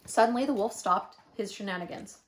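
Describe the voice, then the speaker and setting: A woman reading a children's picture book aloud expressively, with dramatic, emphatic pauses. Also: mid-range voice, slight background noise, low-quality recording. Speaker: storyteller.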